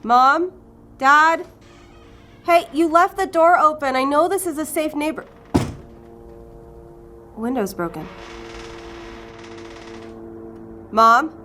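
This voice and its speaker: A woman playing an earnest, anxious female investigator in a naturalistic audio drama, with a halting, strained delivery. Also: high-pitched, animated, pushed and bright, faint music behind, exaggerated voice. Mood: alarmed.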